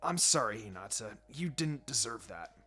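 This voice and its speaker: A man's voice: on the low side, sing-song, moderate pace, sharp tone, husky, pitched below the performer's own voice, exaggerated voice. A man voicing a brash but caring teenage boy ninja in a blunt, casual way. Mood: apologetic. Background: background music.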